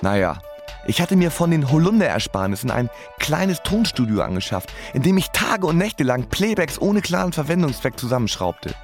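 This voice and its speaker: A man's voice: a little high, big pitch swings, normal speed, soft background music. A man playing a wry, resigned musician who narrates his life laconically in a radio play.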